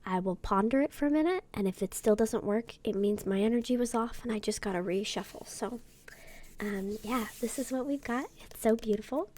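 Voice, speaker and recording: Woman whispering ASMR, mellow tone, soft and slow, slightly ill. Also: medium pitch, slight background noise. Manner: soft whispering, gentle and unhurried. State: sick, occasional throat clearing. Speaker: YouTuber. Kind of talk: whispered ASMR tarot deck unboxing.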